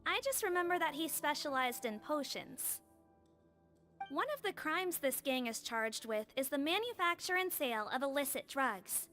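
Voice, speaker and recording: A woman voicing a small, gentle non-human head nurse who talks in an earnest, caring way. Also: sits high, expressive, normal speed. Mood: explaining. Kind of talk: video game dialogue. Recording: faint game music behind.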